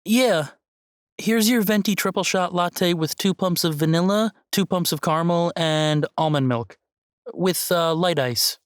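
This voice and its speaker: Woman playing a gentle nerdy college girl, low voice, kinda hesitant, audio drama, really dry sound. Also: a little slow, no room sound, natural voice. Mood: friendly. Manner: tentative, conciliatory, hesitant. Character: gentle, hesitant young female tech-savvy student friend.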